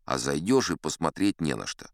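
Deep-voiced, wry old-salt sea captain. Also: male voice, big pitch swings, moderate pace, gravelly, no echo, clean studio sound, exaggerated voice. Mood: wry, reflective. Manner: conversational, wry raconteur with rhetorical asides. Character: seasoned male sea captain telling tall tales, self-assured and wry. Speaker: audiobook performer in character as storyteller. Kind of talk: theatrical first-person audio drama narration.

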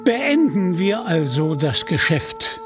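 A man's voice: high voice, wildly swooping pitch, slow, over music, exaggerated voice. A man voicing a proud but indebted knight in an audio storybook, speaking formally and earnestly. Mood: firm.

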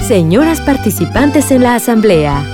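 A woman announcer reading scripted radio drama credits in a clear announcing delivery. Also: a little high, big pitch swings, moderate pace. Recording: music behind the voice.

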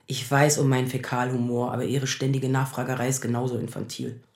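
A low-pitched woman in her thirties, a sharp-tongued and anxious lawyer in a radio drama. She is sarcastic, defensive and combative. The sound is roomy, with clear echo. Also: little pitch movement, fairly quick. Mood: bitter sarcasm. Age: 30s. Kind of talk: naturalistic radio drama acting.

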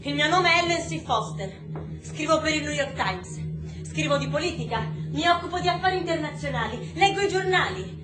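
A woman playing a bitter, grieving journalist with cold, lucid rage, delivering a deliberate theatrical monologue with emphatic repetitions. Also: very high-pitched. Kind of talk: theatrical monologue over music. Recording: roomy stage recording with music.

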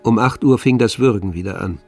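A man narrating a literary radio drama in a measured, grave manner. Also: on the low side, a little mellow, faint music behind.

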